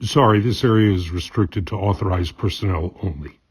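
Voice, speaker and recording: A man's voice: low voice, fairly quick, slightly rough. A man playing a literal, unflappable android in a radio drama, formal and matter-of-fact. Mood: official, flat.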